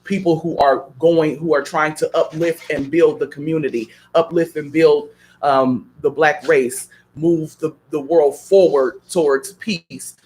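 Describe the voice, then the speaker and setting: A man talking on a radio show with a voice pitched a little high. He speaks like a preacher, building his points through strings of repeated questions. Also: fairly even intonation, measured pace, a little mellow, a little hiss or hum, rough recording. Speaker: guest. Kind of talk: radio talk show conversation.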